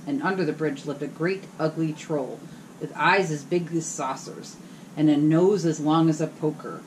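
A middle-aged woman reading a fairy tale aloud in a bedroom, steady and explanatory. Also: deep voice, slight background noise, low-quality recording. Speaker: storyteller. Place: hotel bedroom, indoors.

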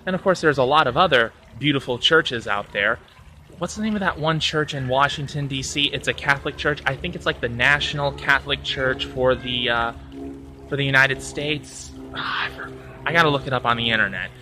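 A man's voice: mid-range voice, brisk, music in the background. A man in his thirties from Florida, vlogging outdoors on a phone at arm's length, talking in an earnest, rambling way and correcting himself as he goes. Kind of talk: casual vlog monologue. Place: outdoors by a church on a sunny day. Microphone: phone held at arm's length. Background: faint traffic and outdoor ambience.

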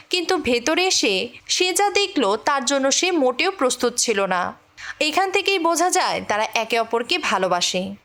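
A woman's voice: high-pitched, a bit bright. A woman telling a film's plot as scripted story narration. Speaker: movie recap narrator.

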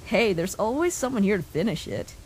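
A woman voicing a brash, mocking young punk adventurer in an animated comic dub, with a cocky, sarcastic delivery. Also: medium pitch, fairly quick, very noisy, close to an everyday voice. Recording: music behind the voice.

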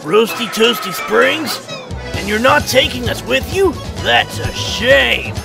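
A man's voice: very high-pitched, animated, slow pace, vocal fry, heavily acted. A man playing a gung-ho, cheerful, scheming hunter in an amateur cartoon audio drama, with theatrical delivery. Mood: casual. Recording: music laid under the voice.

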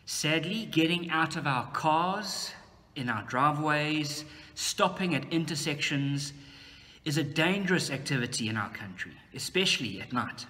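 A man's voice: fairly high pitch, lively intonation, unhurried, bright, forceful tone. A middle-aged man preaches a sermon to camera as a pastor, indoors. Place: indoor room. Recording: long reverberant room echo.

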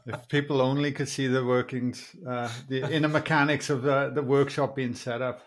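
A man's voice: medium pitch, little pitch movement, moderate pace. A man in his forties with a Welsh accent, hosting a podcast in friendly, rambling chat over a video call from a small room, speaking through earphones. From Wales. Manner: friendly, rambling, says "mate" often. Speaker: podcast host. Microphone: earphone headset on a video call.

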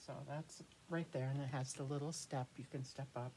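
A woman's voice: extremely low pitch, steady tone, a little fast. An American woman in her fifties chatting casually through a craft show-and-tell, thinking aloud and trailing off mid-sentence. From United States. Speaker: craft vlogger. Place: small cluttered indoor craft room. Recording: clear room noise, handling clicks.

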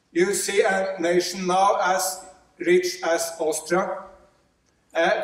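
A middle-aged man with a Norwegian accent giving a conference keynote at a podium, earnest and fond of gesturing, often restarting with fillers. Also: sits high. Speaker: keynote speaker. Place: large lecture hall. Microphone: podium microphone, filmed from afar. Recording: echoey hall from far off.

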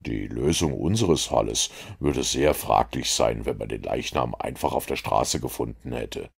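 A man voicing a calm, confident detective who explains his reasoning in a measured, lecturing way. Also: rumbling low, vocal fry, breathy edge, heavily acted. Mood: explaining. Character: calm, confident male detective, middle-aged.

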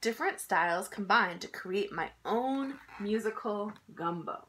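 A woman in her thirties reading a children's picture book aloud in an expressive, emphatic storytelling style. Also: mid-range voice, a little slow, slight background noise. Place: small indoor room at home.

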